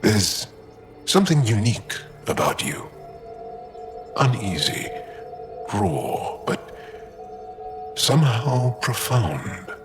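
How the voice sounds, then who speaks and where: A man playing an earnest, guarded alien warrior and tinkerer in a video game, speaking haltingly and thoughtfully. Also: on the low side, big pitch swings. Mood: thoughtful, candid. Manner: halting, thoughtful, measured delivery. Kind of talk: video game dialogue acting. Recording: reverberant room with music behind.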